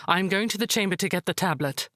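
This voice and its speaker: A woman playing a proud, resolute high priestess, formal and composed, in a visual novel drama. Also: fast talker, a bit bright, dry recording, clean studio sound, close to an everyday voice. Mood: urgent, commanding.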